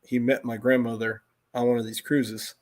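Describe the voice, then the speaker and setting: Man, middle-aged, American accent, medium pitch, casual livestream chat, rambling, self-interrupting storyteller. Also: level tone, moderate pace, darkish tone.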